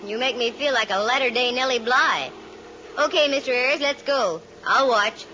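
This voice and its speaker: An American actress playing a sharp-witted, wisecracking woman private detective in old radio drama style, wry and breezy. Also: on the high side, normal speed, slight background noise. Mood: wry, game. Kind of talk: 1940s-50s radio detective acting, first-person narration. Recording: muffled vintage radio recording with music.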